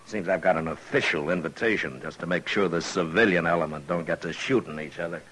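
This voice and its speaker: An American actor playing a steady, plain-spoken frontier marshal in an old radio western, speaking in a measured, firm, dry way. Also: male voice, low-pitched. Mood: dry, calm. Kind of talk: mid-century American radio drama acting. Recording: noisy old-time radio recording.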